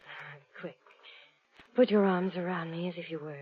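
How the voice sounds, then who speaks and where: A woman's voice: lowish voice, steady tone, normal speed, natural voice. An actress playing a nervous, glamorous young woman in trouble in old-time radio style, breathless, guarded and haughty. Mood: urgent, anxious. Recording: muffled lo-fi old radio recording.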